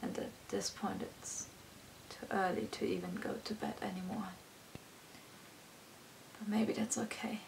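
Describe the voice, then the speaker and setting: A woman performing her own poem as a dramatic, earnest spoken-word recital, with expressive delivery. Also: medium pitch, measured pace, gravelly, pauses often, slight background noise, rough recording. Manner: expressive, earnest, swinging between hushed and intense delivery.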